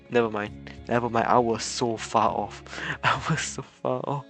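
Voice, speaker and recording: A man's voice: mid-range voice, steady tone, a little slow, a bit bright, faint music behind. A man livestreaming a game, chatting casually with viewers, chuckling often and stammering over repeated words. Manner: chuckles often between remarks.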